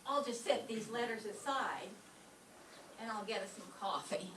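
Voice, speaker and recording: A woman's voice: a little high, normal speed, a bit bright, close to an everyday voice. A woman playing a kindly, absent-minded landlady with a fond, scatterbrained manner in an old-time radio comedy, recorded from a distance in a roomy space. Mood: fond, amused. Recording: distant roomy stage pickup, faint noise.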